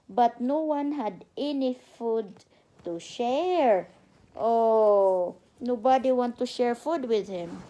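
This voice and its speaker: A woman's voice: on the high side, mellow. A woman, a mother reading a bedtime story aloud and talking a small child through it with instructive prompts. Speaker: mother reading to her toddler. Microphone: phone camera.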